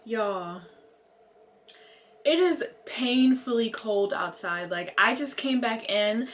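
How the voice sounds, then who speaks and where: A young woman with an American accent talks in a casual, chatty vlog style. Her voice sits fairly high and she leans on words informally. There is clear background noise behind her. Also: expressive, slow. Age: young adult. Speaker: lifestyle vlogger.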